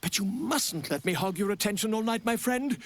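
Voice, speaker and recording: An actor playing a flamboyant, self-promoting writer in a video game, theatrical, chummy and wheedling. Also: male voice, sits high, lively intonation, normal speed. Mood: sly, chummy. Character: flamboyant, self-promoting, slyly charming writer and old friend. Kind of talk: video game character acting.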